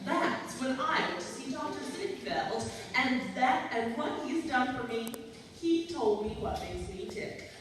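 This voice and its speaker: A woman playing a self-important, gushing society matron with theatrical, drawn-out delivery in a staged old-time radio comedy. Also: high-pitched, animated. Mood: self-dramatizing. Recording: echoey hall from far off, faint noise.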